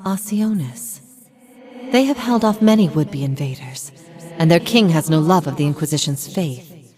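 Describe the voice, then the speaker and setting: Low-pitched, solemn woman heretic prophet. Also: animated, a little mellow, soft background music. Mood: reflective, earnest. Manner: solemn, measured, formal phrasing. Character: grave, steadfast female heretic prophet haunted by past lives. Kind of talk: dramatic fantasy game voice acting.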